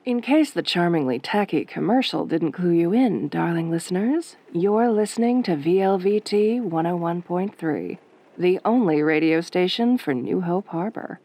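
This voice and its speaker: A woman playing a sultry, teasing late-night radio DJ in a soft-spoken roleplay, with a velvety voice and a flirty, wry drawl. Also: fairly low pitch, expressive, moderate pace. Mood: sultry, playful.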